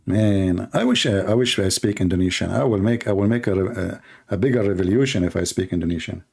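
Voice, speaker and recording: A man from the Middle East, a native Arabic speaker with an Arabic accent, hosting an informal live call-in show in a sarcastic, mocking manner with halting phrasing. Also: sits low, vocal fry, a little mellow, husky. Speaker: host, religious apologist. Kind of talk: live call-in broadcast, rambling informal commentary.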